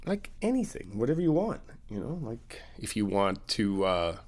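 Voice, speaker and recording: A young man with a low voice talks straight to the listener as a supportive friend in an ASMR roleplay. His pitch moves freely and expressively as he chats. He keeps up a reassuring, easygoing manner, with soft laughs between phrases. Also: sing-song, moderate pace.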